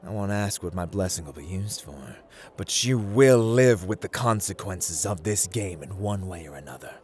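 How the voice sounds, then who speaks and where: A man's voice: animated, normal speed, a little hiss or hum. A man playing a playful, haughty god of luck in an ASMR roleplay, theatrical and teasing, drawling his words as he addresses the listener. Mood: scornful, stern. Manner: theatrical, teasing, drawling, swinging between hushed and booming.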